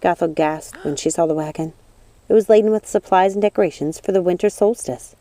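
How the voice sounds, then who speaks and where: A woman reading scripted storybook narration in a measured, expressive manner. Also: lowish voice. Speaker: audiobook narrator.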